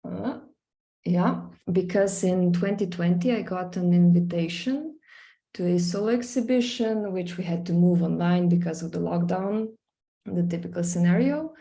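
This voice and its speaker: A woman's voice: fairly low pitch, moderate pace, gravelly, mellow. A young woman from Slovenia with a Slovenian accent, presenting her art project over a screen share, talking hesitantly with many fillers and restarts. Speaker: visual artist presenting her work.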